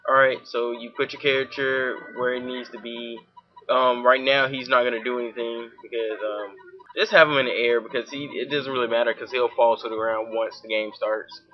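A young man from Georgia with a Southern accent, talking casually through a software tutorial at his home computer, full of fillers. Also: medium pitch, steady tone, moderate pace, muffled recording. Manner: informal, many fillers, talks while clicking. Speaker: tutorial host.

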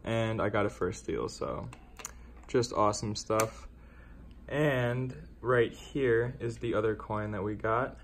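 A young man chatting casually as a coin-collecting vlogger, relaxed and rambling with filler words, filmed on a phone. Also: on the low side, slow, slight background noise. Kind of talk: casual vlog chat. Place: car, then indoors at a desk.

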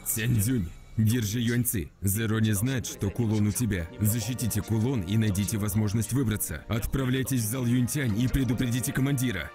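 A man playing a serious, authoritative martial guardian in a dubbed animated fantasy, stern and instructive. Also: on the low side, moderate pace, hardly any pauses, music in the background, natural voice. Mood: grave, urgent.

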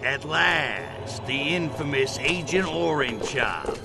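A brash alien invader, drawling and animated. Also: male voice, on the high side, slow, vocal fry, background noise, exaggerated voice. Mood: smug. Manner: sarcastic, brash, slangy. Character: cocky, wisecracking male alien invader. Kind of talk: video game cutscene acting. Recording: music behind the voice.

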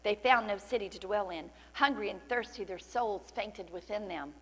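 A middle-aged woman pastor preaching a sermon with fervent praise and scripture reading, her voice slightly cracking from loudness. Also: mid-range voice, moderate pace. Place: large church stage indoors. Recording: long hall reverb, faint hum.

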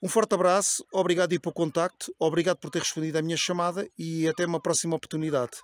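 A man's voice: fairly high pitch, level tone, very fast. A man in his forties speaking courteously as an amateur radio operator, recorded outdoors on a phone at arm's length. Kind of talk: casual radio contact chat. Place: outdoors on a hillside above a lake.

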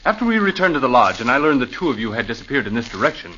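A silky scheming murderer. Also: male voice, mid-range voice, sing-song, very fast, bright, forceful tone. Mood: menacing. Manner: silky, menacing. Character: smooth scheming male murderer. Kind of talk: 1940s radio acting. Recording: dull old radio recording with faint hiss.

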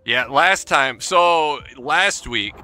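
A man's voice: a little high, lively intonation, very slow, pushed and bright, dry recording, faint music behind. A man streaming a game, chatting casually with his friends and his viewers. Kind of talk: casual gaming banter with friends and stream chat.

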